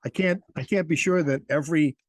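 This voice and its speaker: A man's voice: fairly high pitch, a little fast, no room sound. Conversational man interviewing in close, dry sound. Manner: encouraging, jokey, teasing.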